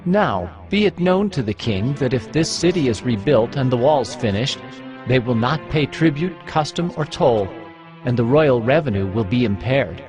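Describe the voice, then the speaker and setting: A man's voice: mid-range voice, lively intonation, moderate pace, natural voice. An actor playing an accusing provincial official addressing his king in a formal, declamatory dramatized scripture reading. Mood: urgent, accusing. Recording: music behind the voice.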